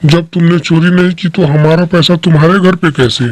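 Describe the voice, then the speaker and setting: A man's voice: a little high, steady tone, normal speed, no echo, lightly acted. A man voicing a scornful, accusing male bird in a children's cartoon, with a blunt manner. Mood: suspicious. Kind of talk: children's cartoon story acting.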